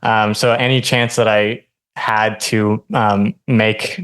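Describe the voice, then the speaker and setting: A young man from Hawaii, a podcast guest telling his story in a relaxed way, full of ums and likes. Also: on the low side, slow pace, clean tone, poor audio. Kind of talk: casual interview chat.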